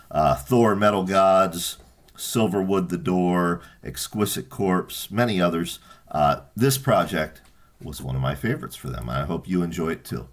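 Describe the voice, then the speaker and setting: A man with a husky voice gives a casual spoken introduction as an author about to read his work. His delivery is informal and unpolished. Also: low voice. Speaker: author reading his own short story. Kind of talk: introducing a reading, casual talk.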